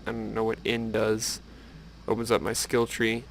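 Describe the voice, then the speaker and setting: A man's voice: on the low side, monotone, normal speed, creaky, slight background noise, poor audio. A man giving casual, rambling game commentary with musing, wry asides to the viewer. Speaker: gameplay video host.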